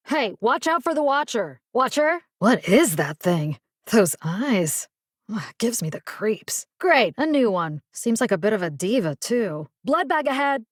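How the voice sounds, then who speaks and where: A woman's voice: mid-range voice, no echo, clean studio sound, natural voice. An actress playing a caring, tough young woman survivor in a video game, talking in a casual, wry way. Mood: wary, sardonic. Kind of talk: video game character dialogue lines.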